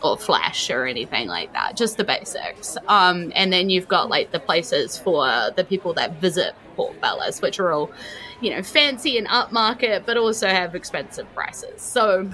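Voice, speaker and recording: A woman's voice: lowish voice, expressive, normal speed, bright, forceful tone, low-quality recording. A woman with a New Zealand accent running a tabletop game, improvising descriptions in a colloquial, conversational way. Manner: conversational, colloquial, piecing descriptions together as she goes. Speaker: game master. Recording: music playing behind the voice.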